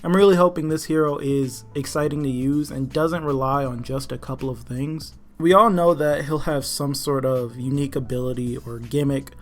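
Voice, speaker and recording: A man's voice: medium pitch, steady tone, moderate pace, hardly any pauses. A man giving casual, opinionated commentary as the host of a gaming news video. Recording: music behind the voice. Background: background music.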